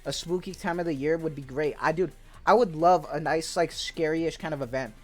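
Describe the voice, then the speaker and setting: A man's voice: on the high side, brisk. A man giving casual, rambling commentary on viewer suggestions for a video game, upbeat and eager, full of filler words. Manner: laid-back, rambling, peppered with "like" and "dude". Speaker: gaming YouTuber. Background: faint background music.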